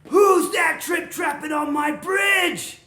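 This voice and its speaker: A woman voicing a hungry, bullying troll in a storybook tale, with drawn-out bellowing. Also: a little high, fairly bright tone, reverberant, pitched above the performer's own voice, lightly acted. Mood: menacing. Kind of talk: animated comic folktale storytelling.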